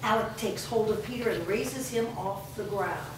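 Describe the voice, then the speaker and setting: A woman's voice: mid-range voice, normal speed. A woman reading scripted stage directions as narrator at a staged reading. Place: echoey room at a live staged reading. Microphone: distant microphone. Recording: distant, very echoey, noisy room recording.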